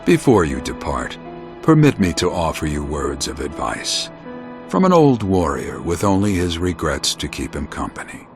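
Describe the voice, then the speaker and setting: A man playing a weary, wise old warrior in a video game, speaking in measured, formal phrases. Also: deep voice, big pitch swings, music in the background. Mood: gently advising. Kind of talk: scripted video game voice acting.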